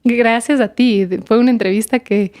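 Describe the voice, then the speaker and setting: A woman's voice: a little high, fairly even intonation, moderate pace, a little mellow, no echo, studio quality. A woman hosting a podcast, reading an introduction and asking interview questions in an upbeat, welcoming way. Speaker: podcast host.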